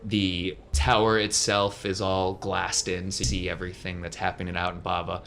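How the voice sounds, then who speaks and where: A man's voice: low-pitched, monotone, normal speed, creaky, a bit bright, slight background noise. A man acting as game master, improvising descriptions of scenes in a casual tabletop game.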